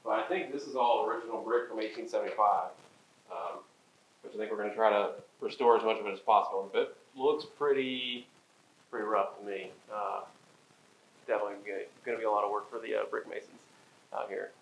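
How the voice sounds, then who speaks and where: A man's voice: fairly low pitch, steady tone, fast, reverberant, slight background noise, poor audio. A man casually talking through an old brick room in an empty mill, rambling with fillers and restarts. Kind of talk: casual walkthrough commentary.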